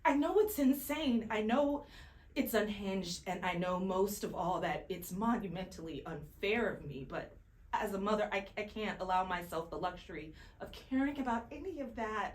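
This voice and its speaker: A woman's voice: medium pitch, lively intonation, normal speed, reverberant, natural voice. A woman acting as a pleading, guilt-ridden mother in a naturalistic podcast drama, in a slightly noisy room. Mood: desperate, pleading.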